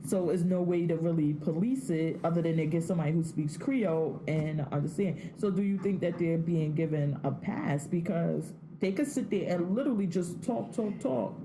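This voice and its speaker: A woman's voice: fairly low pitch, moderate pace, hardly any pauses, background noise. A woman co-hosting a podcast, chatting casually in a blunt, profane way and laughing often. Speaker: podcast co-host. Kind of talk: casual opinionated chat.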